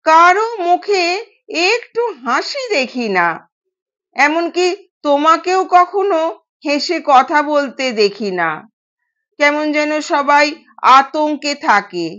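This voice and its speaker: A woman's voice: high voice, lively intonation, slow, long pauses, lo-fi sound, heavily acted. A woman voicing a kind young newlywed daughter-in-law in an audio story, polite and earnest. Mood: uneasy, curious. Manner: gentle, polite, quietly insistent.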